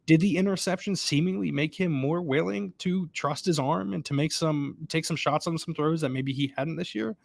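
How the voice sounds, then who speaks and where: A man's voice: fairly high pitch, moderate pace, creaky, hardly any pauses, dry recording. A man co-hosting a college football podcast, breaking down plays as he thinks aloud and often asks "right?". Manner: thinks aloud, repeats himself, seeks agreement with "right?". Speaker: podcast co-host, sportswriter. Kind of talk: casual sports podcast analysis. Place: stadium press box.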